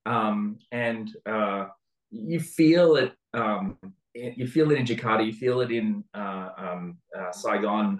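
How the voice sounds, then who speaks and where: Low-voiced Australian man in a roomy space. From Sydney, Australia. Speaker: interview guest, venture capital investor. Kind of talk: conversational interview answer. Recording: roomy, echoing sound.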